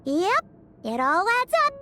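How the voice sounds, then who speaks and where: A woman's voice: high voice, sing-song, unhurried. A woman voicing a small, curious silvery sprite in a video game, childlike and full of exclamations. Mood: excited.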